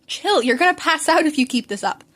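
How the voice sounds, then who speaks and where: Brash, sarcastic middle-school boy, acted by a woman, fairly high voice, blunt and sneering, audiobook character. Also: animated, a little fast, fairly bright tone. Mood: irritated. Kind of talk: fan fiction audiobook character voice.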